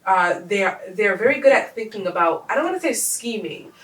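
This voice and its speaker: A woman's voice: mid-range voice, expressive, moderate pace, a bit bright, reverberant, distant mic, rough recording. A woman co-hosting a casual conversation, speaking emphatically and often jumping in with "hello" and "girl.".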